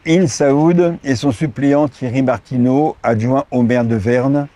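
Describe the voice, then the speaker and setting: A man's voice: mid-range voice, steady tone, a little slow, warm tone, no echo. A man, a former university professor, giving a formal, composed campaign testimonial.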